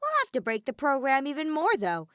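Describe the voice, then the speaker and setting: Squeaky, flippant villainous robot bear. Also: female voice, huge pitch swings, fairly quick, a bit bright, dry recording, studio quality, full character voice. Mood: flippant, scheming. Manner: flippant, exaggerated cartoonish delivery. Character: mischievous, grumpy robotic bear villain with stubby arms.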